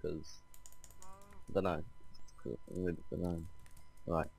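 Man, very low voice, casual gaming chat, swears a lot, exclamatory. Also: little pitch movement, slow, gravelly, a little mellow. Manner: swears freely, chatty, exclamatory. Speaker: Minecraft let's play host.

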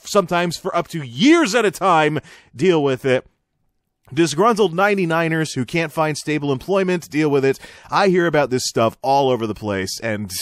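Very animated American man commentating. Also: fairly high pitch, no echo, clean studio sound. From United States. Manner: wry, emphatic, exasperated asides. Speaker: host of an online radio-style commentary show. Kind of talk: opinionated news commentary, reading and ranting.

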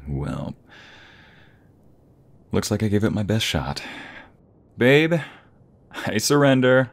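A man playing a playful, doting young husband in an ASMR roleplay, with a breathy voice that often slips toward a whisper and a teasing, affectionate delivery. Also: lowish voice, big pitch swings, heavily acted. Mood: mock defeat. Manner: teasing, affectionate, drawn-out delivery with pet names.

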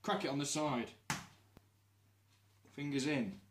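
A man's voice: medium pitch, takes it slowly, roomy sound. A young man with a Midlands English accent, cracking jokes while baking at home. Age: young adult. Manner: wisecracking, mock-announcer asides. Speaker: cooking vlog co-host. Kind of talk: casual jokey cooking chat. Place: small home kitchen. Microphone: camera mic on the counter. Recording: noisy home video.

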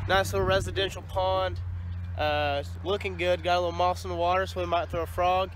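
A man's voice: high-pitched, little pitch movement, brisk. A teenage fisherman, giving laid-back running commentary while he casts at a neighborhood pond, recorded by a camera set some distance away. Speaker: fishing vlogger. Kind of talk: casual fishing commentary. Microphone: camera on a tripod, some distance away. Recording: outdoor camera audio, loud background noise.

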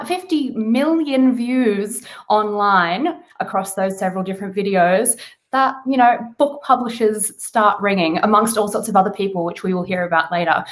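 A woman's voice: on the high side, normal speed. A woman in her thirties hosting a casual interview from home over a video call, chatty and enthusiastic. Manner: enthusiastic, chatty, laughs often. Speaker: interviewer, host. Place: home room.

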